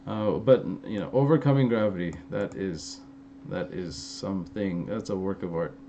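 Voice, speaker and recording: Rambling man with an Armenian-influenced accent, mellow voice. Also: deep voice, normal speed. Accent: Armenian-influenced American. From Los Angeles. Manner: loose, rambling, many fillers and restarts, laughs easily. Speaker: fitness YouTuber answering live chat. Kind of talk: casual live-stream Q&A. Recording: slightly dull, lo-fi stream audio with faint noise.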